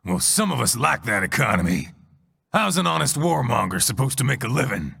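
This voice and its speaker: An actor playing a smug, ruthless mercenary warmonger in a video game, with a gravelly, mocking, theatrically menacing delivery. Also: male voice, medium pitch, sing-song, fairly quick, big-room sound, full character voice. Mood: smug menace. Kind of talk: dramatic video game cutscene acting.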